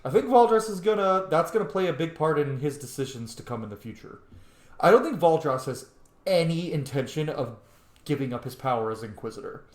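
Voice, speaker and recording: A man's voice: fairly high pitch, sing-song, brisk. Casual male gamer in an echoey room. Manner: informal, reacts and talks back to characters. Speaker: gaming channel host playing a roleplay. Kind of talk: casual gameplay commentary.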